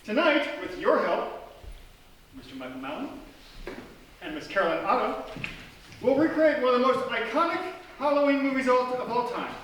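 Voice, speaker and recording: A high-pitched, very animated man announces a radio theatre show with showy comic flair. His bright, forceful voice rings through an echoey theatre. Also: normal speed, long pauses. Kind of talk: scripted comic announcing to an audience. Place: small theatre stage before an audience. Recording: echoey live theatre, distant, faint noise.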